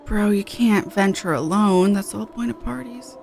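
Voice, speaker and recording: A woman's voice: medium pitch, moderate pace, gravelly, a little mellow, slight background noise. A woman game streamer chatting casually, with a playful, blunt, sweary way of talking. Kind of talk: casual playthrough chat.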